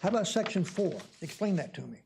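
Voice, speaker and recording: A man with a stutter questioning bluntly and argumentatively as a council member at a public meeting, repeating himself haltingly. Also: sits high, lively intonation, creaky, darkish tone. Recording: noisy public meeting room recording.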